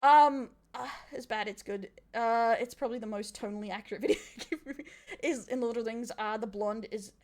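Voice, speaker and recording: A woman's voice: a little high, a little fast, a little mellow. An Australian woman chatting casually as a streamer, rambling and opinionated with plenty of swearing. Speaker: streamer reacting to a video. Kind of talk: casual live reaction chat.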